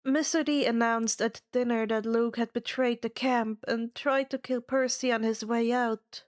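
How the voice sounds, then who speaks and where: A woman with a fairly high voice reads scripted fiction aloud. She takes it very slowly, with measured, expressive delivery as narrator and host. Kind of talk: scripted first-person story narration.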